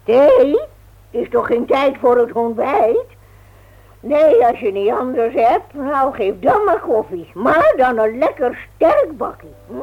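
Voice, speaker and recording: A feisty elderly aunt banters brashly in a radio play. Her voice is high and very animated. The recording is old and dull. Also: slow pace, a little hiss or hum, heavily acted. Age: older. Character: feisty, teasing old aunt who loves brandy. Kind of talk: Dutch radio play acting.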